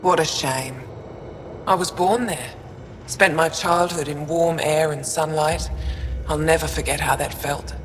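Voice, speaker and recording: An actor playing a wistful alien refugee trader in a video game, speaking in a measured way. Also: mid-range voice, steady tone, long reverb tail, a little hiss or hum, close to an everyday voice. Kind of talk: video game dialogue acting.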